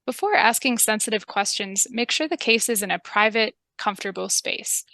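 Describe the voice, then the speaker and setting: A woman narrating a training video and playing a calm, reassuring public health interviewer. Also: mid-range voice, moderate pace.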